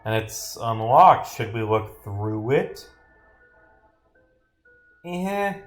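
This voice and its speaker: A man's voice: mid-range voice, sing-song, extremely slow. A man giving casual, rambling livestream commentary as he playtests a game and reads its text aloud, often interrupting himself with dry jokes. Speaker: streamer, game developer. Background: game music.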